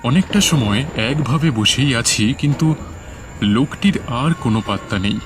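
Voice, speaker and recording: A man's voice: mid-range voice, animated, a little slow, noisy background. Man narrating horror, crickets behind. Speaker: narrator and lead character. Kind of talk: first-person horror story narration with acted dialogue.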